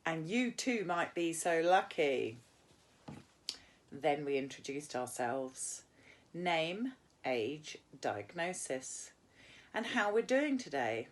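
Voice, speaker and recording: A woman with a British accent and a fairly low voice reads from a novel as a teacher would for students. Her delivery is informal and explanatory, moving between the story and plain teaching talk. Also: sing-song, slow. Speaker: English teacher. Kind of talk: reading a novel aloud with informal teaching asides.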